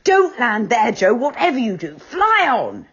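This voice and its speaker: Lady doing a bold boy voice, bit raised, kinda husky. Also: fairly high pitch, huge pitch swings, measured pace, a bit bright, muffled recording, full character voice. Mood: brisk. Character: brave, brisk boy pilot.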